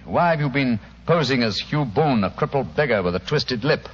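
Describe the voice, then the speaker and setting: An actor playing an incisive, dryly witty British gentleman detective in a theatrical, clipped 1940s radio drama style. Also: mid-range voice, expressive, moderate pace, a little hiss or hum, natural voice. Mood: commanding. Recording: muffled old radio recording.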